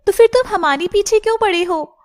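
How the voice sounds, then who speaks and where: A woman's voice: very high voice, brisk, pitched above the performer's own voice. A woman voicing a young, loyal serpent woman in a cartoon, earnest and dramatic. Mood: alarmed, indignant. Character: young female shape-shifting serpent woman, emotional and loyal. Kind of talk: Hindi cartoon character dialogue.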